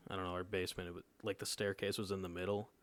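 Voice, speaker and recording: A man's voice: low-pitched, level tone, very fast, fairly bright tone. A nineteen-year-old man telling a personal story in casual podcast chat, laid-back and often checking in with his listeners. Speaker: podcast co-host.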